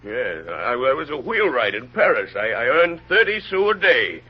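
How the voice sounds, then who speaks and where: A man playing a simple, frightened peasant prisoner who speaks haltingly and pleadingly in an old radio drama. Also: medium pitch, big pitch swings, husky. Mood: muddled, meek. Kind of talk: 1930s radio drama acting. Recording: dull old radio recording.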